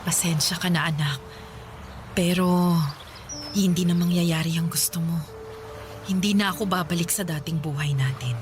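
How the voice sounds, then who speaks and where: A woman's voice: lowish voice, moderate pace, long pauses, music in the background, lightly acted. A woman playing a weary, unyielding middle-aged mother in a Filipino radio drama, speaking in a firm, resigned way. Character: weary, unyielding mother who left her family. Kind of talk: Tagalog radio drama acting.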